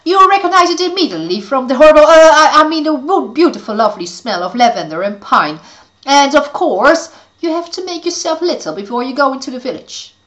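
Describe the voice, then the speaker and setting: A woman's voice: sits high, very animated, a little fast, fairly bright tone, voice pushed up, rough recording. A woman voicing a scheming, mean female witch who gloats and cackles. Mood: sly. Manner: cackling, sly and exaggerated.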